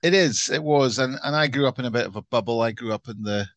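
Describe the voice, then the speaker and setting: A middle-aged man from Glasgow with a Scottish accent, co-hosting a podcast and being interviewed, wry and self-deprecating with halting restarts. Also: medium pitch, fairly bright tone, no echo. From Scotland. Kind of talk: casual podcast chat.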